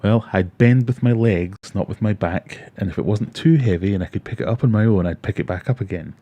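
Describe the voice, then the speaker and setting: A man's voice: on the low side, a little fast, mellow. A man in his forties with a Glaswegian Scottish accent, hosting a casual livestream, chatting with viewers and telling self-mocking stories. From Scotland. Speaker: livestream host. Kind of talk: casual livestream chat reading comments.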